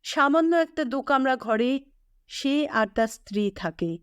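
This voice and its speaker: A woman narrating a literary audio story in a measured, expressive storytelling manner. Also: high-pitched, clean tone, no room sound.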